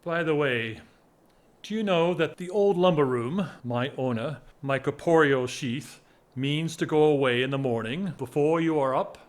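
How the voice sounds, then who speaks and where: A man acting a whimsical, restless gentleman in an audio drama, with theatrical, wordy, teasing formality. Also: on the high side, measured pace, close to an everyday voice. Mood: teasing, mock-formal. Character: whimsical, restless English gentleman, an aristocrat in love.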